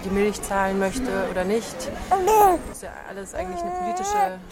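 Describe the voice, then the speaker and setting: A woman's voice: mid-range voice, very animated, normal speed, a loud crowd. A woman giving a casual vox pop answer as a visitor at an outdoor market. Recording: loud outdoor street market noise.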